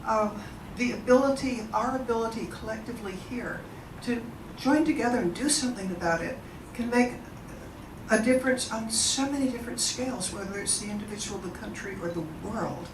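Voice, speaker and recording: A woman's voice: on the high side, fairly quick. A woman moderating an in-person event, talking to the audience in a chatty, enthusiastic way and introducing speakers. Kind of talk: informal presentation to an audience. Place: event room. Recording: roomy, distant mic, background noise.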